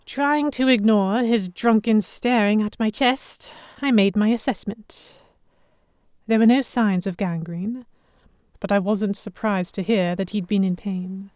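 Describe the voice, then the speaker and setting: Lady reading first person story narration, mid pitch, very dry recording. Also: measured pace, darkish tone, no echo. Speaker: narrator and lead actor. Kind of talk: first-person scripted audio drama narration.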